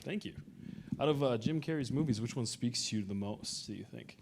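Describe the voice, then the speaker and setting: A man's voice: medium pitch, a little fast, darkish tone. A man moderating a live convention panel in a casual, chatty style. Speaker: panel host.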